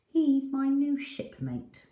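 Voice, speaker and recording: A gruff, dramatic storybook pirate dog, acted out by a woman, grumpy yet caring. She speaks at a measured pace in an echoey room. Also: high voice, sing-song, mellow, pitched above the performer's own voice, heavily acted. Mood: fond. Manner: gruff, dramatic, growling and barking.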